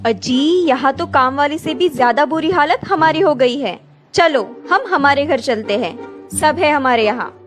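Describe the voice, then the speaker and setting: A woman's voice: high voice, lively intonation, a little slow, faint music behind. A woman playing a spoiled, complaining grown-up daughter in a cartoon story, with a whining manner.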